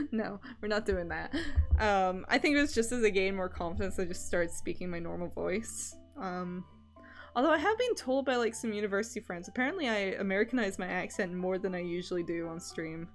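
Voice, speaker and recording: A woman's voice: medium pitch, a little fast, faint music behind. A young woman from Scotland with a mixed Edinburgh and Canadian accent, chatting candidly and loosely as a streamer. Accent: mixed Edinburgh Scottish and Canadian. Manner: rambling and candid, with loose swearing. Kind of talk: casual stream chat.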